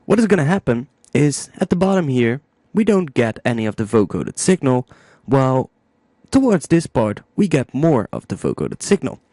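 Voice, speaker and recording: Male tutorial host, mid-pitched and lively in intonation, casual step-by-step explainer thinking aloud. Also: moderate pace, no room sound, clean studio sound. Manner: informal, thinking aloud while demonstrating. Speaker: music production tutorial host.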